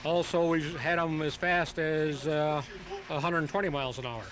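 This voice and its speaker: Halting, higher-voiced man explaining methodically. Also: fairly even intonation, crowd noise. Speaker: interviewed hobby pilot. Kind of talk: explanatory live radio interview. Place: outdoors at a flying field. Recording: noisy home tape of outdoor radio broadcast.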